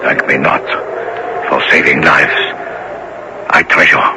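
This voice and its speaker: A man's voice: rumbling low, little pitch movement, slow. An actor playing a solemn, proud male African guide in a radio drama, speaking in formal, stately phrases. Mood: grave. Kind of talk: 1970s radio drama acting. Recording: very noisy old radio broadcast recording.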